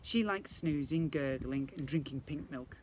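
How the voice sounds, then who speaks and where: A man's voice: a little high, unhurried, a little mellow. A man narrating a children's storybook like an infant school teacher, expressively and directed at young listeners. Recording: faint background noise.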